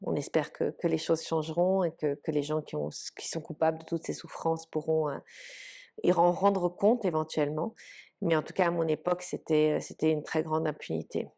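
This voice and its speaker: A woman's voice: deep voice, steady tone, moderate pace, vocal fry, mellow. A woman in her forties, from Lebanon and raised in Canada, answering interview questions thoughtfully, with many fillers and restarts. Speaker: humanitarian official, interview guest.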